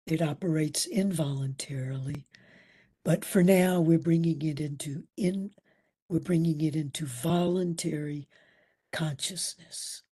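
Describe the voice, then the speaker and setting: Female meditation instructor, lowish mellow voice, breathy, soothing, deliberate pauses. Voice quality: breathy, at times near a whisper. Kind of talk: guided meditation.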